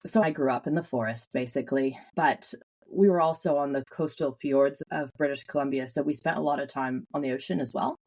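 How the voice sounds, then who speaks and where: A Canadian woman telling stories with lively energy as an interview guest, laughing often. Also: extremely low pitch, brisk. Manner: animated storyteller, laughs often. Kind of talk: casual interview.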